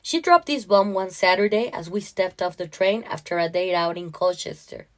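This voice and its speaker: A woman narrator reading a first-person literary short story in an expressive, dramatic way. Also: lowish voice, normal speed.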